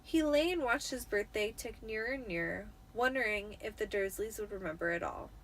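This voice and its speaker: A tipsy woman reading a story aloud in an expressive, dramatic audiobook style, with the occasional stumble. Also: mid-range voice, fairly quick, low-quality recording. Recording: noticeable background noise.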